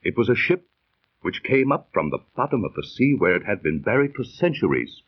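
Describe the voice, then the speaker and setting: An actor in a 1930s radio drama playing an earnest, scientific-minded seafaring storyteller with formal, dramatic diction. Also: male voice, fairly low pitch, very animated, brisk. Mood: earnest storytelling. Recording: muffled 1930s radio recording.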